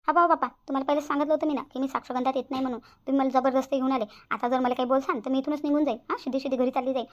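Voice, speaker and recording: Woman acting fast, high-pitched blunt sister-in-law. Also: steady tone, lightly acted. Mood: defiant, huffy. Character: blunt, quarrelsome young sister-in-law at a family engagement. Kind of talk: Marathi family comedy cartoon dialogue. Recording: slightly dull lo-fi audio.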